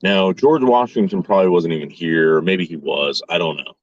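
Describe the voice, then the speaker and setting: A man in his forties leads a loose tour, joking offhand and tossing out mock insults along the way. His voice sits low, and the recording is dry and a little dull. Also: normal speed, no room sound. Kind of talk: casual chat.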